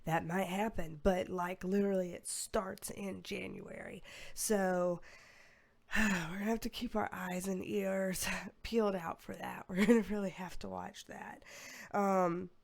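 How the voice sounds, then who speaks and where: Young tarot reader, casual and rambling, a little hoarse, lots of ums and sighs. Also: female voice, medium pitch, fairly even intonation, a little slow. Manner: rambling, filler-heavy, with sighs. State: losing her voice, a bit hoarse. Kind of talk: casual psychic reading to camera.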